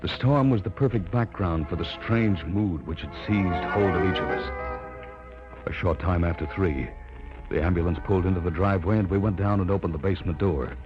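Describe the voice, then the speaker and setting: An actor playing an uneasy, hesitant young assistant who tells the tale gravely in old-time radio drama style. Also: male voice, low voice, fairly quick, close to an everyday voice. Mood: grave, ominous. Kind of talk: 1940s-50s radio drama narration. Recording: muffled old radio, loud music behind.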